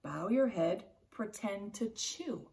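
A woman's voice: medium pitch, animated, slow pace, a little mellow, recorded from a distance. A woman voicing an eager young boy in a storybook read-aloud, urgent and a bit bossy. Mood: cautious.

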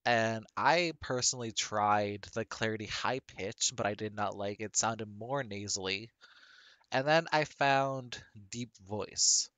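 A man with a deep voice, talking through a casual software tutorial on a headset microphone and often restarting his sentences. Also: a little slow, smooth, dry recording. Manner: rambling with frequent restarts and fillers. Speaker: tech tutorial creator.

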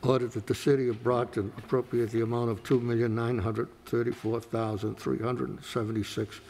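A man reading council agenda items aloud in a steady, formal way, in a council chamber. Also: mid-range voice, a little fast, a little hiss or hum, low-quality recording. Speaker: city clerk.

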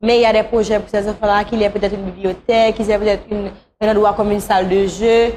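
A woman speaks as a talk show guest. Her pitch is average for a woman, and the delivery is conversational, as in a studio interview. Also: flat delivery, fast talker, darkish tone, a little hiss or hum.

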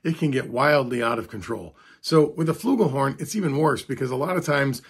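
A hoarse man talking in a rambling, chatty way to the camera from a home music room, with a raspy voice from vocal cord strain. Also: mid-range voice, animated, fairly quick. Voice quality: hoarse, gravelly. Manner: rambling, chatty, self-deprecating. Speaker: trumpet hobbyist vlogger. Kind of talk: casual talk to camera. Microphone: studio mic beside camera.

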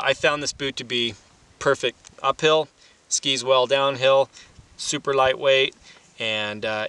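A man's voice: mid-range voice, pushed and bright, clear, resonant tone. A man gives an informal gear demonstration as an instructor, explaining things in a plain-spoken, matter-of-fact way. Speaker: instructor and presenter. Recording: distant mic, light background noise.

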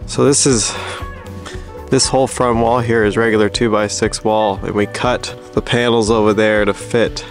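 Even-toned man casually explaining a build, music behind. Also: lowish voice, normal speed. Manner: plain-spoken, practical, informal. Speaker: host of a DIY building update vlog. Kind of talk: casual explanatory walkthrough of construction work.

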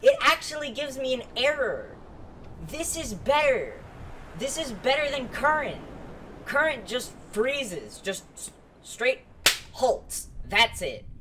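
A person giving casual gameplay commentary in a halting way with false starts, in a room at home. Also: high voice, big pitch swings, bright, forceful tone, slight background noise, rough recording. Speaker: streamer demonstrating a game.

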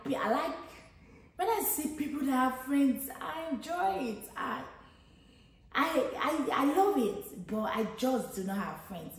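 A woman in her thirties from Nigeria with a Nigerian accent, chatting casually as a vlogger and thinking aloud with frequent restarts. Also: fairly high pitch. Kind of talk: casual get-to-know-me chat. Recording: very echoey room.

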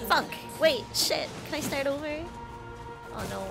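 A woman's voice: high-pitched, lively intonation, measured pace, vocal fry, over loud music. A woman streaming a game, sniffly, teasing her chat in a playful, profane way. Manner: playful, teasing, swears freely. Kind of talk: casual gameplay livestream chat.

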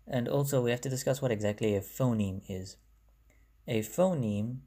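A man's voice: on the low side, darkish tone. A young man with a South African accent, native Bangla speaker, talking through a language lesson in a chatty, self-correcting way, recorded on a phone. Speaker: language explainer. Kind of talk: casual narrated lesson over slides.

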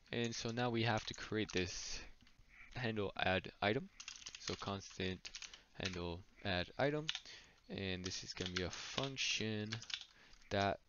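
A man explaining code as he types, thinking aloud with small slips. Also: lowish voice. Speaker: coding tutor. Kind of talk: screen-recorded coding walkthrough. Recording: dull lo-fi mic with noise. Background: keyboard typing and clicks.

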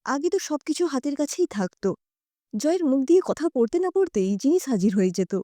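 A woman narrating a scripted audio story in an expressive storytelling style. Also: high voice, fairly quick, no echo, studio quality.

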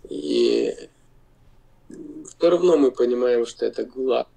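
A Lithuanian-accented man in his forties, a non-native speaker, argues insistently over a video call, stopping to search for words. His mid-pitched voice has a gravelly, creaky texture. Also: huge pitch swings, mellow, poor audio. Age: 40s. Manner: insistent, halting non-native speech with pauses. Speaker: video-chat guest. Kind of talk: heated video-chat argument.

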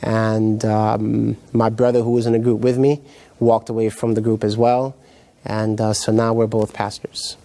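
A middle-aged man from Jamaica, raised in New York, giving his personal testimony as a guest pastor in a halting, reflective way. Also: fairly low pitch, little pitch movement, warm tone. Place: indoor TV studio set, seated.

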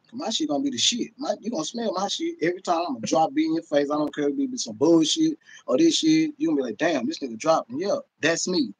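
American male streamer, middle-aged, husky and high for a man, blunt, sweary, casual rant. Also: lively intonation, fast talker, hardly any pauses, low-quality recording. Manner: blunt, profane, emphatic, repeats himself. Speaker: livestream host. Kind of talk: casual livestream rant to viewers. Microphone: phone or webcam at arm's length.

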